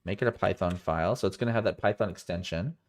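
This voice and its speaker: A man with a cold and a somewhat low voice talks through code on a live stream. He sounds tired, thinks aloud as he goes, and often catches and corrects himself mid-sentence. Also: fast talker. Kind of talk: live coding walkthrough.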